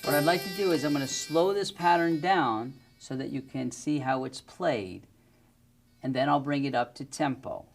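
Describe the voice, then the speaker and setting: A man's voice: medium pitch, moderate pace, gravelly. A middle-aged man giving a methodical, step-by-step music lesson to the camera, speaking into a lapel mic in a studio. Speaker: music teacher. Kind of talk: instructional demonstration to camera. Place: indoor studio with plain backdrop.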